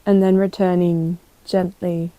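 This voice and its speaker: A woman guiding a meditation as a yoga teacher, calm and gentle, giving unhurried instructions with pauses. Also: fairly low pitch, no echo. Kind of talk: guided meditation.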